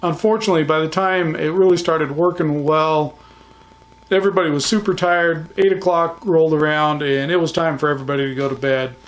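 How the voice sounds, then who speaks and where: Casual man with a slightly higher-pitched voice. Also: fairly even intonation, brisk, low-quality recording. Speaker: ham radio vlogger. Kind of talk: casual recap to viewers.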